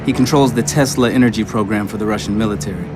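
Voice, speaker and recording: An actor playing a well-informed military officer giving a matter-of-fact briefing in a video game. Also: male voice, lowish voice, quick pace, music in the background, natural voice. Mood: serious, informative.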